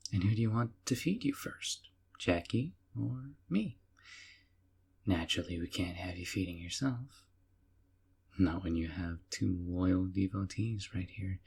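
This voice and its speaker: An actor playing a sweetly possessive young yandere man in an audio roleplay, doting and softly teasing. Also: on the low side, creaky, slightly rough, full character voice. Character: doting obsessive young male yandere captor.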